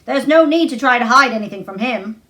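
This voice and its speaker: A woman's voice: a little high, lively intonation, a little fast, bright, forceful tone. A woman voicing a surly, easily indignant old male gardener in a storybook, with curt, grunting dialect speech.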